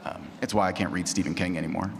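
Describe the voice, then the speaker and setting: A man with a very low voice talks candidly and without a script, poking fun at himself as a panelist. His voice rings in a reverberant hall with faint noise behind it. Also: steady tone, quick pace, creaky. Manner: candid, self-deprecating. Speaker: panelist, audio drama writer and actor. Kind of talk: candid unscripted panel talk. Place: large panel room at a convention. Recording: echoey hall, noisy, roomy pickup.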